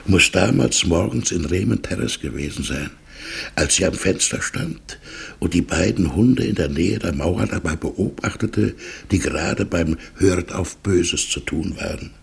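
A brooding middle-aged man in an old radio drama. His voice is very husky and full of creak. He speaks haltingly, murmuring as if thinking aloud, on the low side. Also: expressive, exaggerated voice. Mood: wistful, brooding. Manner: halting, murmuring, drifting into inward monologue. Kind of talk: 1960s German radio play acting.

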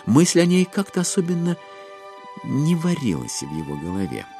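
A man narrating a literary audio drama in an expressive storytelling manner. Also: a little high, normal speed, soft background music.

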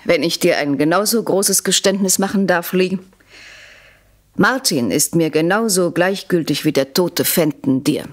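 An actress playing a proud, calculating unfaithful wife in a German radio drama, articulate and insistent, with a standard German accent. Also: female voice, fairly low pitch, measured pace, natural voice. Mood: probing, assertive.

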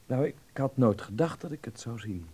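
A man's voice: medium pitch, lively intonation, a little fast, warm tone, breathy edge. An actor playing a naive, uneasy young Dutchman abroad in a radio drama, hesitant and searching for words. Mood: embarrassed, flustered.

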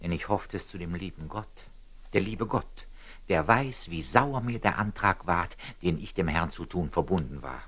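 Humble, deep-voiced, husky friar. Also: male voice, animated, normal speed, a bit bright, full character voice. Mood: relieved, earnest. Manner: deferential, earnest, artless. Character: humble, pious, simple-hearted lay friar with sly candour. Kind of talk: classical radio drama acting.

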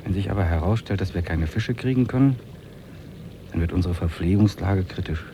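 An actor playing a stubborn, determined trekker and angler, brooding and deliberate, in a 1950s radio play. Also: male voice, deep voice, warm tone, close to an everyday voice. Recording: noisy track with running water.

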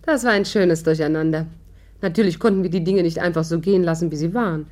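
A woman's voice: fairly low pitch, quick pace, a little mellow, close to an everyday voice. An actress in a 1950s German radio play playing a witty, resolute woman who speaks with poised, dry irony. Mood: wry narrating. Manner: poised, dryly ironic. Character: witty, resolute, worldly woman amateur adventurer and narrator.

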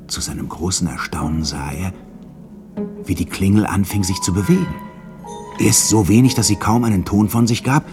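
A man's voice: deep voice, normal speed. A man narrating a 1960s radio drama as a dramatic scripted story. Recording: studio radio narration with faint music behind. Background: faint music.

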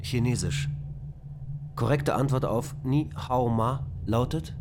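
A man playing a male onboard computer in a radio drama, with a calm, clipped, commanding delivery. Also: medium pitch, slow pace. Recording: noisy radio play recording.

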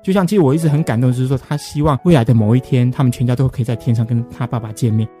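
A man hosts a radio interview in Taiwanese Mandarin. He talks quickly in a mellow, mid-pitched voice, effusive and encouraging with his guests. Light music plays softly behind him. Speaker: interview host.

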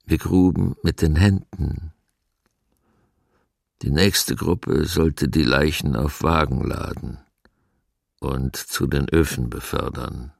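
An actor playing a grave male eyewitness giving sombre, measured testimony in a documentary radio play. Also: very deep voice, steady tone, vocal fry, husky, dry recording, clean studio sound, exaggerated voice. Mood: grave, haunted. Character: grave male eyewitness recalling forced labour among the dead. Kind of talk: sombre first-person testimony, read dramatically.

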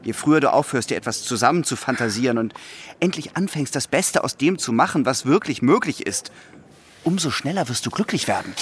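Man playing an earnest young man, very animated. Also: mid-range voice, fairly quick, slight background noise, natural voice. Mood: exasperated, lecturing. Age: young adult. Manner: earnest, insistent, lecturing. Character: ambitious, earnest young man, dutiful believer in order. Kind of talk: German radio drama acting.

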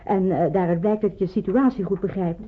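An actress playing a practical, helpful woman in hiding in a radio drama, explaining things briskly and precisely. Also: mid-range voice, close to an everyday voice. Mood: earnest, careful. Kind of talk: Dutch radio drama acting. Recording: muffled, phone-like old radio recording.

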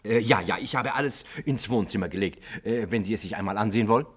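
Brisk, dutiful police inspector, German radio drama. Low register, rough husky edge, methodical delivery. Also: male voice. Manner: methodical reporting, eager repeated assent. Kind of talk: German radio play dialogue.

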